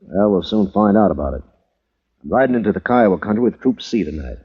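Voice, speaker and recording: Pompous cavalry colonel, lowish voice, very up and down, old muffled radio. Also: male voice, expressive, a little fast, slightly rough. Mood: resolute. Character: blustering, self-important frontier army colonel. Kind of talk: 1950s radio western acting. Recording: dull lo-fi radio, crowd murmur behind.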